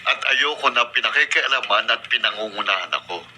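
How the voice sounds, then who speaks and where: A man's voice: medium pitch, animated, brisk, lightly acted. A man playing a cold, domineering father and master of the house, stern and condescending, in a Filipino radio drama. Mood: cold, intimidating. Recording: noisy radio drama recording.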